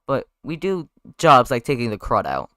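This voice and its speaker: A man's voice: mid-range voice, huge pitch swings, normal speed, bright, forceful tone, dry recording, clean studio sound. A man voicing a small, upbeat, wisecracking bee with a breezy, needling manner.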